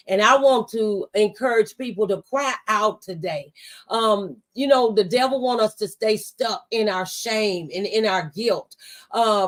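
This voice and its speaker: A woman radio host talking conversationally in a preacherly, testifying way, offering faith-based encouragement. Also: mid-range voice. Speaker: radio talk show host.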